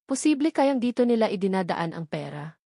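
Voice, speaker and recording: An earnest, observant wife in an audio drama, played by a woman narrator with a Filipino accent. She lays out her findings in a measured way, at a medium pitch. The sound is dry and close. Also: big pitch swings, vocal fry, warm tone, no echo.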